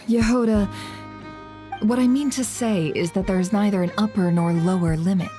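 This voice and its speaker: A woman voicing a calm, cryptic female leader in a video game. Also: medium pitch, faint music behind, close to an everyday voice. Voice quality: cool, cryptic, unhurried. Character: calm, cryptic woman boss of a secret society.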